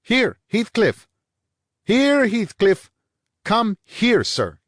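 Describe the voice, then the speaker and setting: A man's voice: mid-range voice, animated, fairly quick, no echo, studio quality, pitched above the performer's own voice, lightly acted. A man voicing a vain, irritable man who snaps out his lines with hostility. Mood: angry. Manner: snapping, hostile.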